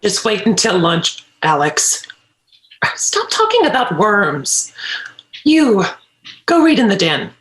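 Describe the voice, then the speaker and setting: Animated, exasperated mom, acted by a woman. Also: medium pitch, slow pace, pauses often, exaggerated voice, rough recording. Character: exasperated, protective mom of three. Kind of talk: screenplay table read.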